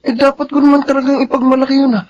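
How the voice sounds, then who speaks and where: A man's voice: very high voice, flat delivery, racing, highs cut off, slight background noise. An actor playing an arrogant, spurned rich young man in a Filipino radio drama, boastful and whiny. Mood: boastful, wounded. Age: young adult.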